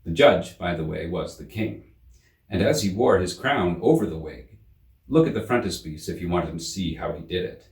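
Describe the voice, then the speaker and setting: A man reading a children's story aloud as an expressive storyteller. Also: sits low, moderate pace. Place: indoor room. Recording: roomy, slightly distant microphone.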